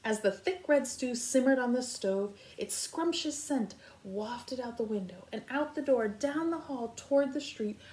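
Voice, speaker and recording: A woman's voice: fairly high pitch, unhurried, hardly any pauses, darkish tone, poor audio. A woman teaching a read-aloud lesson for young children, speaking directly to them and thinking aloud as she goes. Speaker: kindergarten teacher reading aloud.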